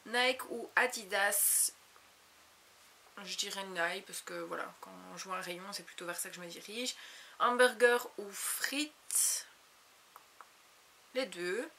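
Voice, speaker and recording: A woman's voice: medium pitch, takes it slowly, long pauses, bright, forceful tone, slight background noise. A woman, chattily reading out questions and answering them in a casual vlog. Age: late 20s. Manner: reads each question aloud, then answers chattily. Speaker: YouTube vlogger.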